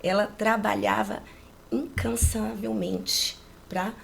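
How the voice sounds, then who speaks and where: A woman's voice: medium pitch, fairly even intonation, slow, vocal fry, a bit bright, slight background noise. A woman in her late forties from rural Brazil, with a Brazilian accent, telling personal stories casually as an interview guest, often adding agreement-seeking tags. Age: late 40s.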